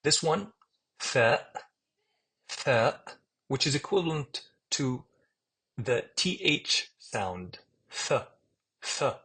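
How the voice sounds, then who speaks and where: A man with a Palestinian accent teaching an alphabet lesson, saying each letter name slowly and repeating it patiently. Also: mid-range voice, very animated, pushed and bright, slightly rough, rough recording. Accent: Palestinian Arabic. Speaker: Arabic teacher. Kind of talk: screen-share alphabet lesson.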